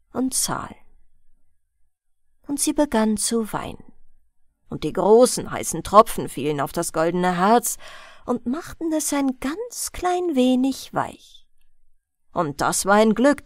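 A woman reading a fairy tale aloud as a bedtime audiobook, in a gentle storytelling manner. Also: a little high, no room sound, clean studio sound. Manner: slow, gentle storytelling with lively, sing-song melody. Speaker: audiobook reader.

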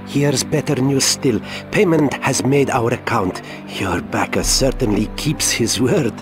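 A man's voice: mid-range voice, measured pace, music in the background, natural voice. An actor playing a wry, unruffled henchman in a video game, dry and sardonic. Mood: pleased. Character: wry, unruffled male henchman reporting to his boss. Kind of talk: video game cutscene acting.

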